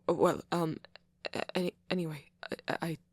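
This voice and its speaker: A man playing a shy, nervous young vampire in an ASMR roleplay, stammering softly and apologetically with a British accent. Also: a little high, steady tone, no room sound, close to an everyday voice. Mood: shy, flustered. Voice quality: soft, breathy, often near whisper. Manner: stammering, halting, flustered and apologetic. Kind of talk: ASMR boyfriend roleplay, intimate acting.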